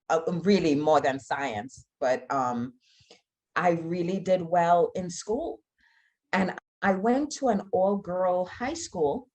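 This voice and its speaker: A middle-aged woman from Trinidad and Tobago, an aerospace engineer giving an online talk in an earnest, measured way with frequent fillers. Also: on the low side, darkish tone. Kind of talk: online talk answering audience questions.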